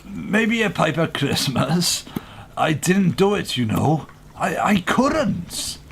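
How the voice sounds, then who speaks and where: A man acting an anxious, gentle clergyman in a radio play, hesitant and fretful in manner. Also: sits high, big pitch swings, takes it slowly, gravelly, background noise, full character voice. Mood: worried, pleading. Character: anxious, pious, gentle male clergyman suspected of murder.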